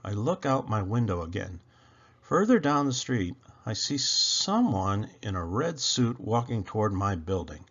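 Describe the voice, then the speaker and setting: A man reading a children's story aloud with expressive narration, as a storybook reader. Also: lowish voice, measured pace, husky, dull audio.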